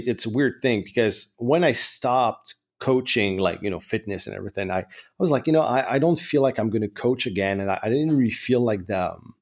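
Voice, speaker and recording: A middle-aged man with a French Canadian accent hosting a podcast, chatting casually and rambling with many restarts and fillers. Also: fairly low pitch. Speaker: podcast host. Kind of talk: casual chat.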